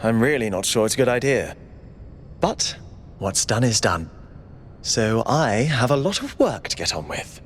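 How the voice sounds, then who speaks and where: Game role: cautious, dutiful android official, mid-pitched with varied intonation, audible background rumble. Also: male voice, very animated, measured pace. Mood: weary, disapproving. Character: cautious, overworked male android bureaucrat, chief aide. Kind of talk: video game dialogue.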